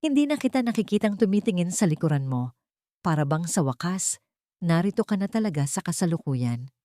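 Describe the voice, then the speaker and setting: A woman's voice: lowish voice, very animated, brisk, warm tone, dry recording, clean studio sound. A woman voicing a devoted man in love, speaking earnestly and tenderly in a narrated romance drama.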